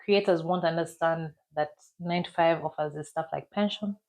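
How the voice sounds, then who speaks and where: A Ugandan woman with a Ugandan accent, a podcast guest who tells stories in an animated, candid and playful way. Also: low-pitched, moderate pace. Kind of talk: casual storytelling in an interview.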